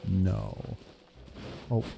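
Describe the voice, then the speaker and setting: A man's voice: deep voice, big pitch swings, very slow, vocal fry, dull audio. A man in his thirties giving casual game commentary, muttering and exclaiming to himself. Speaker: video game streamer. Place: indoor room at home. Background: game music.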